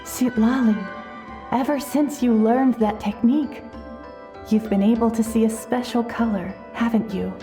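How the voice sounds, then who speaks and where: A gentle, wise shaman woman from a fantasy game, with a fairly high voice. She explains things calmly and in measured phrases while music plays prominently behind her. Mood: calm, tender. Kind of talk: video game voice acting.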